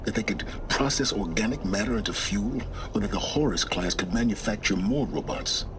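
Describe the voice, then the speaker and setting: An actor playing a cold, secretive middle-aged male scholar of ancient ruins, measured and condescending. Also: on the low side, animated, background noise, close to an everyday voice. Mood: explaining. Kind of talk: video game cutscene acting.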